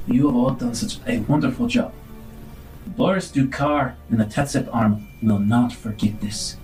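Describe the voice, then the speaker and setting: Man playing earnest space doctor, formal, measured delivery, middle pitch, tabletop game, light music behind. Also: darkish tone, close to an everyday voice, rough recording. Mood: grateful. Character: grateful, earnest scientist doctor of a space outfit.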